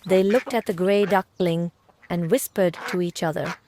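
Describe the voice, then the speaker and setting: A woman's voice: fairly low pitch, normal speed, dark tone, a little hiss or hum. A woman storyteller reading a scripted children's tale.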